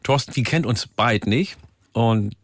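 A man hosting a casual radio interview in a studio, chatty and teasing, firing off quick questions. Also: mid-range voice, no echo. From northern Germany. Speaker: radio host. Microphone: studio microphone.

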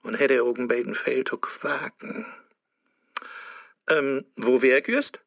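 An actor playing a sly male devil who gives crafty advice, speaking with a Low German accent in a drawling, knowing way, in a radio play. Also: measured pace, gravelly, a bit bright, no room sound, muffled recording.

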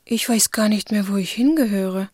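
A woman's voice: mid-range voice, moderate pace, a little mellow, natural voice. An actress playing a headstrong, self-reliant woman of twenty-five in a radio play, earnest and emphatic. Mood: downcast.

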